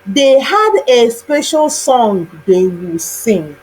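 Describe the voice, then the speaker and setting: Slow, high-voiced woman telling a folktale. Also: very animated, a little mellow, a little hiss or hum, rough recording. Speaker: storyteller. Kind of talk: scripted children's folktale narration.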